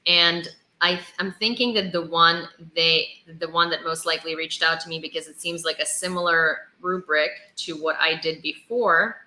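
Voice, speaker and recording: A woman's voice: low-pitched, steady tone, moderate pace, bright, forceful tone, reverberant, poor audio. A woman from Ukraine with an American-sounding accent, hosting a livestream of news reading and informal chat with viewers. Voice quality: versatile English with American-sounding vowels. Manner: chatty, informal, thanks viewers by name. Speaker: livestream host reporting Ukraine war news.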